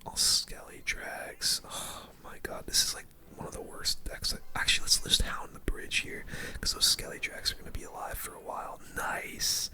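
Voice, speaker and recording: A game streamer whispering casual, slangy ASMR commentary in a breathy, unvoiced voice close to the microphone. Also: moderate pace. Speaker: gaming video host.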